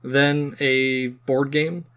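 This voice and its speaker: A man's voice: mid-range voice, flat delivery, drawn-out words, a bit bright, smooth. A man co-hosting a podcast, casually discussing films and giving his opinions. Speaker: podcast co-host.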